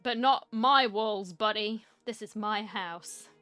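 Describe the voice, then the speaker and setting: A woman's voice: medium pitch, slow pace, a bit bright, clear, resonant tone, no echo, soft background music. A woman giving casual, jokey playthrough commentary with dry asides into a close mic. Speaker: game streamer.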